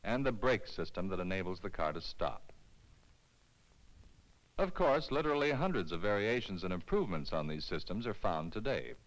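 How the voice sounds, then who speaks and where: A man reading a scripted instructional film narration in a clear, measured, explanatory way, as on a 1970s educational film soundtrack. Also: sits low, sing-song, low-quality recording.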